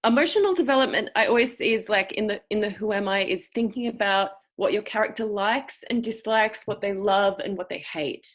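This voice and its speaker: Woman with a cultivated Australian accent. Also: mid-range voice. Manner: thoughtful, searching for words, with pauses. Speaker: acting teacher. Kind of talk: explanatory seminar talk. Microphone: video call.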